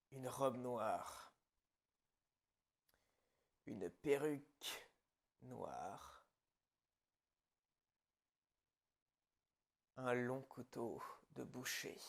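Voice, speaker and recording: A man playing a calm, gloating psychopathic killer in an ASMR horror roleplay, husky and gravelly, often dropping toward a whisper. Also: medium pitch, heavily acted. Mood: quietly menacing. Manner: slow, pausing, quietly menacing storytelling. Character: calm, gloating male psychopath killer confessing his story.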